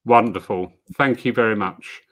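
A man's voice: on the low side, moderate pace, no echo. Courteous man hosting an online panel. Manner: warm, courteous introductions. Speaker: panel host. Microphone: video call.

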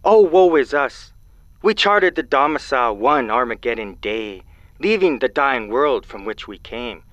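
An actor playing an aggrieved, free-thinking amateur poet calling in to a show in an audio drama, with a theatrical, slangy delivery. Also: male voice, medium pitch, lively intonation, unhurried, fairly bright tone, dry recording, lo-fi sound. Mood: melodramatic.

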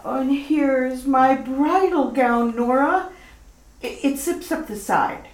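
A woman's voice: high voice, slow pace. A woman playing a sweet, naive young bride with a girlish manner in a retro radio comedy. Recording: echoey room.

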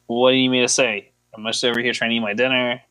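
A man's voice: mid-range voice, flat delivery, rapid-fire, sharp tone, no echo. A man co-hosting a podcast in casual chat, joking, teasing and rambling as he goes. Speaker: podcast co-host.

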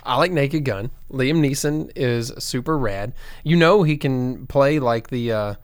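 Man, middle pitch, relaxed, uh and bro a lot, dry mic. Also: measured pace, no echo. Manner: laid-back, fillers like bro and uh. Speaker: podcast co-host. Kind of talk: casual chat.

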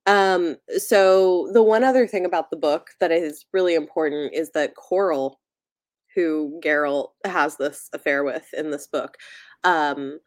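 A woman's voice: sits low, a little slow, no echo, clean studio sound. A woman podcast host in a casual, chatty book discussion, musing aloud and prone to giggling.

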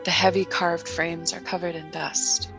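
A woman performing improvised scene-setting narration in a deliberate manner. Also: on the low side, level tone, vocal fry, over music, rough recording. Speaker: improv comedy podcast performer.

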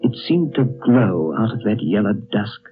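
An actor playing a reflective gentleman who recounts a strange tale in a deliberate, dramatic 1930s radio style. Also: fairly low pitch, big pitch swings. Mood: haunted, reflective. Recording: narrow-band 1930s radio recording, faint music.

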